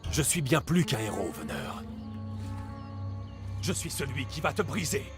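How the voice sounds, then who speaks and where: An actor playing a brooding, defiant ancient Greek warrior in a video game, with a grim, resolute, intense delivery. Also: male voice, medium pitch, very animated, a little slow, music in the background. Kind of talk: dramatic video game acting.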